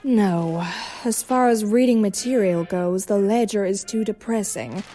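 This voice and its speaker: A woman's voice: medium pitch, a little slow, warm tone, faint music behind, natural voice. A woman voicing a wry, world-weary cartoon club owner with a languid, sardonic delivery. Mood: dry, resigned. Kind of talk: animated comic dub acting.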